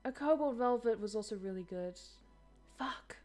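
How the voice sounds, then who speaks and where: A woman's voice: a little high, animated, moderate pace, a little mellow. Chatty woman streamer over faint music. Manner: chatty, self-deprecating, reads chat aloud. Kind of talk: casual game stream chat.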